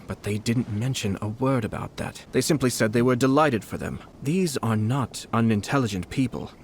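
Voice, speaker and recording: A man voicing a young male clan head in a video game, speaking in a measured, polite and formal way. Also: medium pitch, a little hiss or hum, lightly acted. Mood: composed. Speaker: clan leader. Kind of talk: game voice acting.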